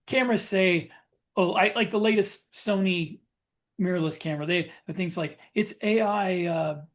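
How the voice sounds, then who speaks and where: A man chatting casually as a podcast co-host, explaining things in a halting way. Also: high-pitched.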